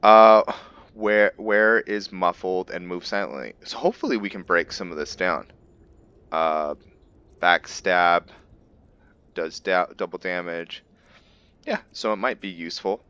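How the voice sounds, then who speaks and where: Very deep-voiced gamer musing aloud. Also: male voice, measured pace, sharp tone, clean tone, dry recording, muffled recording. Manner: thinking aloud, musing and self-correcting. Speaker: gamer narrating a roleplay playthrough. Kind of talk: casual let's-play commentary.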